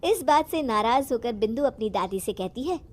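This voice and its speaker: A woman's voice: on the high side, lively intonation, normal speed. A woman reading scripted narration for a children's moral story.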